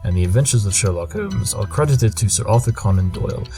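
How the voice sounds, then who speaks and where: A man playing an aloof, analytical pony detective in a cartoon audio drama, speaking in a measured, pompous way. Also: lowish voice, expressive, mellow. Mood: brisk, composed. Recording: music playing behind.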